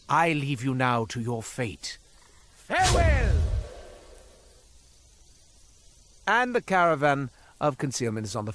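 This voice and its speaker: A man's voice: fairly high pitch, a bit bright. An actor playing a cursed, self-pitying merchant in a video game, with wry, florid, melodramatic salesman patter. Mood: grandly theatrical. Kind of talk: scripted video game character monologue.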